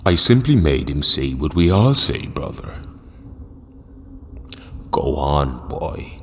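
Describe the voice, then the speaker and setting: Man playing a very deep, menacing cult elder. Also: very animated, takes it slowly, slight background noise, exaggerated voice. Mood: cold command. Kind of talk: audio drama acting.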